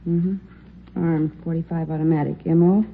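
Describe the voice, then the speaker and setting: An actress playing an efficient, unhurried police records clerk in a matter-of-fact 1950s radio drama style. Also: female voice, low voice, flat delivery, gravelly. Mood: brisk, businesslike. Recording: tinny, faintly noisy old radio recording.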